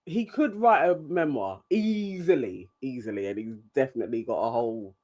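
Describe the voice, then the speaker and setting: A man's voice: mid-range voice, lively intonation, normal speed, a little mellow. A man co-hosting a casual live stream chat, laughing often and talking with animation. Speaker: co-host.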